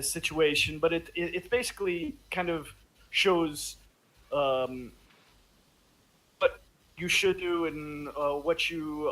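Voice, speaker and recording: Halting, slightly high-voiced man on a call. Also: a bit bright, rough recording. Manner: halting, many restarts and fillers. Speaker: podcast panelist. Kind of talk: casual discussion.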